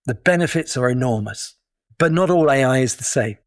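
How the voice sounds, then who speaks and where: British guy, middle-aged, chatty explainer, dry close sound, everyday pitch. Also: animated, fairly quick, no echo. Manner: thoughtful, explanatory, with small restarts. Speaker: guest, digital detox coach. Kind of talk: casual interview talk.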